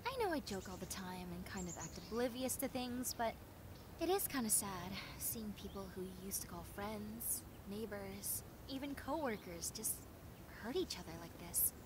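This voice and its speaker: A woman playing a friendly, clumsy young female zombie in a roleplay audio drama, talking in a chatty, expressive, rambling way. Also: medium pitch, moderate pace, background noise, lightly acted. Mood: somber. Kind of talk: roleplay audio drama, conversational monologue addressed to listener.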